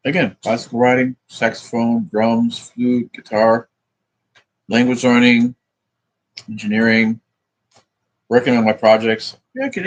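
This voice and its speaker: A man's voice: mid-range voice, husky, rough recording. A man rambling in a profane, meandering monologue, thinking aloud as he works through sums. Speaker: vlogger.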